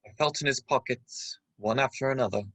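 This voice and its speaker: A man playing the narrator of a radio play, gravely recounting a boyhood adventure. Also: medium pitch, a little fast, fairly bright tone, no room sound, natural voice. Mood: measured suspense. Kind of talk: scripted dramatic narration.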